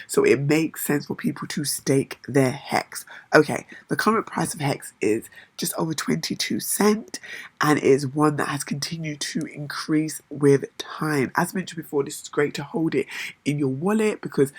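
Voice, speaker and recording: A woman with a hoarse voice, chatting enthusiastically to camera in a casual vlog, with lots of fillers and repeated words. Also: low voice, no echo. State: hoarse, lost voice. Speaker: crypto vlogger.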